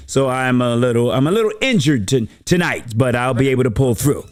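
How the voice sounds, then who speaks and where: A man with a hoarse, strained voice talks football at a middle pitch. He explains plays in a measured, steady way. It sounds like casual co-host chat. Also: no room sound, studio quality. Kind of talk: casual sports talk.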